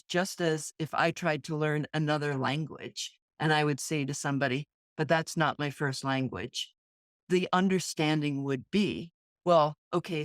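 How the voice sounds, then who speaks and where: A woman's voice: deep voice, dry recording, clean studio sound. A woman co-hosting a casual podcast, reflecting earnestly on feelings and senses. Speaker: podcast co-host.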